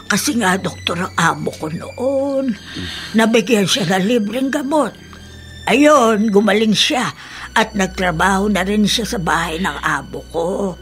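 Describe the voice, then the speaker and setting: A woman's voice: mid-range voice, measured pace, soft background music, close to an everyday voice. An actress playing a kindly, talkative older working woman in a Filipino radio drama, with a folksy, hesitant storytelling manner. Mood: earnest storytelling.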